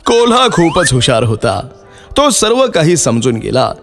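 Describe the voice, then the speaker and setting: A man's voice: mid-range voice, big pitch swings, unhurried, creaky, fairly bright tone. A man narrating a scripted moral story for children as a storyteller. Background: background music.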